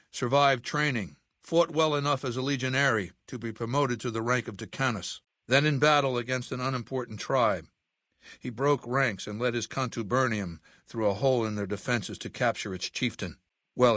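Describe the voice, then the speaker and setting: A man's voice: medium pitch, fairly bright tone, dry recording, studio quality, close to an everyday voice. An older man playing an imperious, ruthless warlord in a video game, speaking with cold, commanding, formal diction. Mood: measured, authoritative. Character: imperious, ruthless ageing male warlord commanding a slaver legion. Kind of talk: video game character acting.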